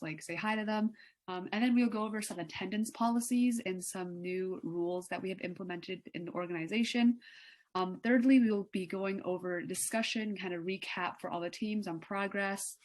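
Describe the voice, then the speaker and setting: A young woman runs a student meeting on a video call. She sounds easygoing and leans on filler words. Her pitch sits in the middle. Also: normal speed. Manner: relaxed, filler-heavy. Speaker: meeting leader. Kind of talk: casual online meeting.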